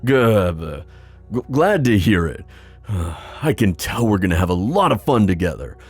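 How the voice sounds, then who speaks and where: A man playing a proud, protective sea god and father in a fantasy ASMR roleplay, musing haltingly with sighs. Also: lowish voice, very animated, darkish tone, breathy edge, soft background music, heavily acted. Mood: gentle, reassuring.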